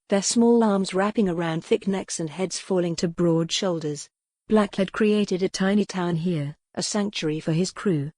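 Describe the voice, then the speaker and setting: A woman's voice: fairly low pitch, a little mellow, dry recording, studio quality. A woman narrating scripted dramatic fiction as an audiobook.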